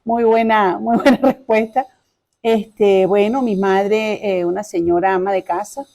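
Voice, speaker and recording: Middle aged venezuelan lady, caracas accent, mellow voice, chatty and polite, telling her life story in an interview. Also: mid-range voice, steady tone, measured pace, gravelly. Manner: chatty, courteous, repeats phrases. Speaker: interview guest.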